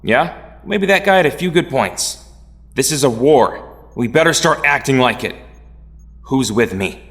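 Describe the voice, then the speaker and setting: A man's voice: medium pitch, lively intonation, unhurried, a bit bright. A man playing an angry, rebellious young hero in an audio drama, defiant and intense. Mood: rallying. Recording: long hall reverb.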